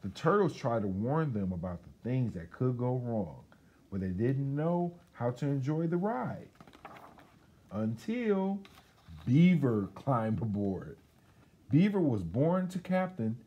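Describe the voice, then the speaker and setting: Unhurried American man reading aloud, mellow. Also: medium pitch, sing-song. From Detroit, United States. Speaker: guest storybook reader, radio station employee. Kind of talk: casual read-aloud of a children's picture book. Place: school library, seated indoors.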